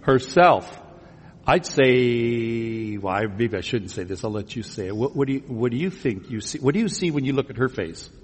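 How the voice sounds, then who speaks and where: A man's voice: medium pitch, lively intonation, normal speed, breathy edge. Man preaching conversationally in an echoing hall. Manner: teasing, repeats phrases and questions back. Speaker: pastor. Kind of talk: conversational sermon with questions to the congregation. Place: large church room before a congregation. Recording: reverberant hall sound.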